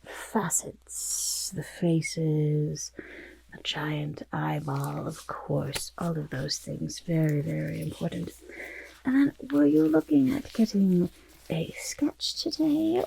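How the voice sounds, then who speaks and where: Very creaky, raspy goblin artist. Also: female voice, low voice, exaggerated voice, rough recording. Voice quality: raspy, creaky, breathy half-whisper. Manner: third-person self-talk, hesitant pompous flattery. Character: vain, fussy, cautiously flattering goblin portrait artist. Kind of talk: ASMR roleplay, soft personal attention.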